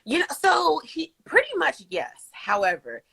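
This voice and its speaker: A young woman from Kentucky. Her voice is bright and forward, and she tells her stories in an animated, laughing way. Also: high-pitched, a little slow, vocal fry, rough recording. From Kentucky, USA. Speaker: guest. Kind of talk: casual interview.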